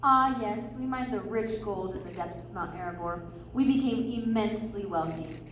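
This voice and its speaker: A woman's voice: medium pitch, moderate pace, fairly bright tone, a little hiss or hum, close to an everyday voice. Woman as a nostalgic dwarf, very echoey. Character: nostalgic, sorrowful dwarf miner. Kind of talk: readers theatre stage performance. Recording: very echoey room from far off.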